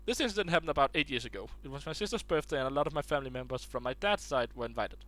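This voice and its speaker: Casual male reader of online stories, mid pitch, stumbles over words now and then. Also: lively intonation, rapid-fire, fairly bright tone, faint music behind. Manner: restarts and stumbles while reading aloud.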